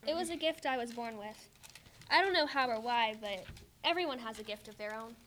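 A woman playing a calm, protective young woman who can speak with the forest, in an amateur radio drama. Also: on the high side, a little fast, slight background noise, close to an everyday voice. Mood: gentle.

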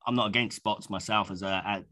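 A man's voice: on the low side, steady tone, a little fast, bright, forceful tone. A man co-hosting a casual podcast, stuffed up with a cold, rambling with many restarts. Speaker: podcast co-host.

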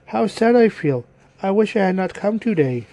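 Man putting on an innocent little girl, high-pitched. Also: animated, moderate pace, mellow, dry recording. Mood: uneasy. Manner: earnest, polite. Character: innocent, polite, trusting little girl in a fairy tale.

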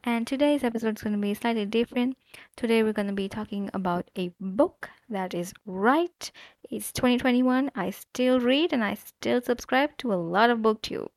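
Woman, podcast host, chatty and animated, lots of fillers, dry close sound. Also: a little high, moderate pace, dry recording. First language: not Korean. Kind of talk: casual solo podcast book talk.

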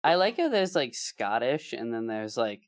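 Animated, joking male podcast co-host. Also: mid-range voice, moderate pace. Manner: animated, bawdy joker who laughs often. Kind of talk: casual comedic chat.

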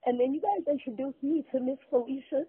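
A woman's voice: sits high, quick pace. A woman crying as she leaves a grateful thank-you message, speaking in a tearful, heartfelt way. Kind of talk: emotional recorded voice message. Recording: tinny phone-line recording.

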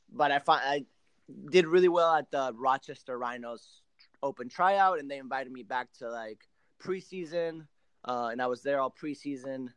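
A man in his late twenties, originally from Argentina with Spanish as his first language, talks casually as a podcast guest. His voice is smooth and clear, at an average pitch, and the sound is close and dry. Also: no room sound. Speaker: professional soccer player, podcast guest. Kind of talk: casual interview storytelling.

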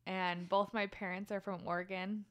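A woman in her thirties chatting casually as a podcast guest, laughing often and adding quick asides. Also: medium pitch, flat delivery, fairly bright tone. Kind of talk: casual chat.

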